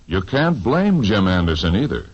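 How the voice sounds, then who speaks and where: A man's voice: sits low, big pitch swings, moderate pace, muffled recording. A man reading a 1950s radio commercial in a folksy, persuasive way. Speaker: commercial announcer.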